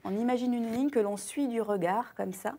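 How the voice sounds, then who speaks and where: A woman in her thirties, a health and wellness columnist on a morning TV show, explaining and demonstrating simple exercises in a clear, upbeat way, wearing a lapel mic in a bright studio. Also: on the high side, normal speed. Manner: clear, upbeat explainer, lists items with steady rhythm.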